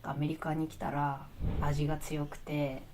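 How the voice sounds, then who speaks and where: A young woman from Japan, an international student, making soft, observational remarks in an interview. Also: low voice, little pitch movement, brisk, background noise. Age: young adult. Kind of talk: interview testimony.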